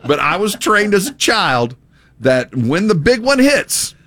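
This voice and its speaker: Animated, joking middle-aged man. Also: high voice, a little slow, no echo. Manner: emphatic, joking, folksy. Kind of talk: casual banter.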